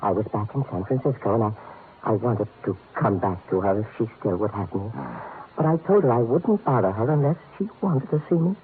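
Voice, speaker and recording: A man's voice: fairly low pitch, expressive, fairly quick, natural voice. An actor playing an anxious, rueful, mild husband of fifty in an old radio drama, hesitant and apologetic, repeating his words. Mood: rueful, wistful. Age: 50s. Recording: tinny, noisy old radio broadcast.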